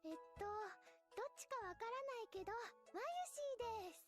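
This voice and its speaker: Tinkly music plays under a very high, fast-talking young anime girl. She is cute and airy, with a soft, hesitant, girlish manner. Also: expressive. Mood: shy, cute. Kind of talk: anime voice acting.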